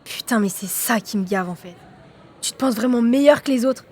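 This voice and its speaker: A defensive teenage girl, played by an actress with a high voice in an audio drama. She is combative and accusing, and talks in blunt slang. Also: normal speed, a little mellow, natural voice. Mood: indignant. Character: defensive, hot-headed teenage girl, former best friend.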